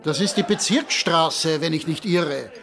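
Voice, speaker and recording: An actor playing a reasonable but dissenting village councillor in a radio drama, precise and pointed. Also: male voice, on the high side, a little slow, gravelly, music in the background.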